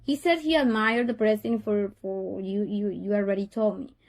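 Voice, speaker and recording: A woman's voice: mid-range voice, fairly even intonation, normal speed, darkish tone. A middle-aged woman from Honduras with a Honduran Spanish accent, a parent speaking earnestly to a teacher and often repeating and restarting her words. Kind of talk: tense parent-teacher call.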